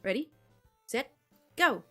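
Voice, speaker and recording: A woman's voice: on the high side, sing-song, slow pace, a bit bright, faint music behind, voice pushed up, exaggerated voice. A woman voicing a bossy, impatient teenage girl runner in a visual novel, pushy and exasperated. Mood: commanding.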